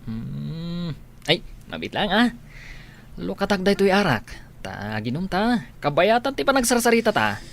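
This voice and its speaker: A man playing a comic, excitable drinking buddy who gives advice in an Ilocano comedy radio drama, with a Filipino accent and exaggerated delivery. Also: high voice, measured pace, gravelly, noisy background, exaggerated voice. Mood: jolly. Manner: exaggerated, swooping.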